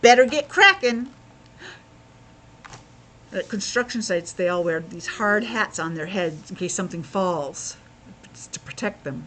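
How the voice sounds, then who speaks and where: A woman reading a children's story aloud in an expressive way, pausing to explain things simply as a teacher would. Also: fairly low pitch, slight background noise. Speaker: schoolteacher reading a picture book aloud to children.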